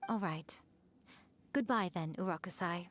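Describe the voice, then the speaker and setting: A woman's voice: fairly low pitch, a little hiss or hum, close to an everyday voice. A woman as a sly, smug fox spirit. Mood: coy, fond. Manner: smug, coy, unhurried teasing. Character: sly, teasing, centuries-old female fox shrine spirit. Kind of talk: video game dialogue acting.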